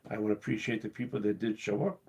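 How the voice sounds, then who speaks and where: A man hosting his cruise channel live, losing his voice and talking in a halting, casual way with many pauses and restarts. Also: lowish voice, darkish tone. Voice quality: husky. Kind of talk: casual live-stream talk.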